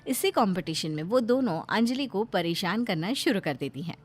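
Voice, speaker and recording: A woman reading scripted narration for an animated moral family story. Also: medium pitch, moderate pace, husky, soft background music.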